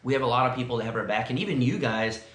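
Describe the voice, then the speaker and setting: A man's voice: mid-range voice, rapid-fire, a bit bright. A man from South Texas, co-hosting a casual vlog chat from a friend's house, rambling and chatty with many fillers. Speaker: vlog co-host. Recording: roomy echo.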